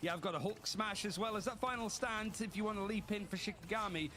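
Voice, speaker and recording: Man casting esports, high voice, rapid-fire, excitable play-by-play. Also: slight background noise. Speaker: esports commentator. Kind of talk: live play-by-play commentary.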